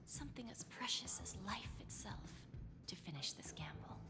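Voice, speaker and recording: A woman playing a composed, shrewd, elegant businesswoman in a video game, speaking in a measured, cryptic, teasing way. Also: fairly low pitch, very animated, vocal fry, in a whisper. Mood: poised, calculating. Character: composed, shrewd, elegant older-sister businesswoman, coolly calculating. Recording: game audio with prominent background music.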